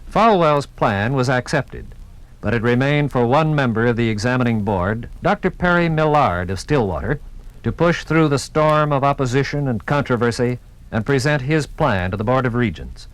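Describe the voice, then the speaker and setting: A man's voice: medium pitch, expressive, moderate pace, rough recording. An American man narrating a scripted 1950s radio documentary with formal, dramatic announcer delivery. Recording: vintage 1950s radio recording.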